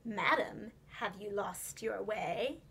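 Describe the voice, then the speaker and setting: Storybook villain played by a woman: sly, formal gentleman fox, bright forceful tone, commanding. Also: mid-range voice, slow pace, creaky, husky, voice pushed down, exaggerated voice. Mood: smooth, courtly. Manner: suave and formal, turning harsh and commanding. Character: sly, well-dressed male fox gentleman, falsely polite.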